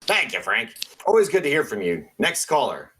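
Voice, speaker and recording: A man's voice: medium pitch, huge pitch swings, moderate pace, fairly bright tone, a little hiss or hum. A man playing a matter-of-fact host of a paranormal call-in radio show. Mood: genial, assured. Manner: matter-of-fact about bizarre things. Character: folksy conspiracy radio host of weird small-town stories.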